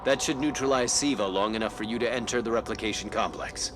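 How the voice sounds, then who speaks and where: A man's voice: fairly low pitch, quick pace, noisy background, lightly acted. A man acting as a focused scout officer in a cinematic game, giving a briefing in a matter-of-fact way. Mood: focused, confident.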